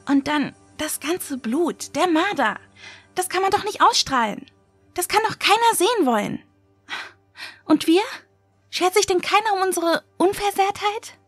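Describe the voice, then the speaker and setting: An actress playing a wary, indignant young female survival contestant in a horror audio drama, with a breathy voice. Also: very high-pitched, lively intonation, a little slow, fairly bright tone, no echo, faint music behind, full character voice. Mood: agitated, indignant.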